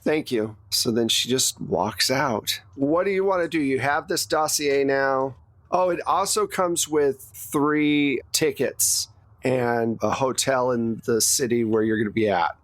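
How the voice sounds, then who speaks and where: Man, game master, conversational tabletop narration, informal explanatory delivery, slightly low pitch, very dry sound. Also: unhurried, no room sound.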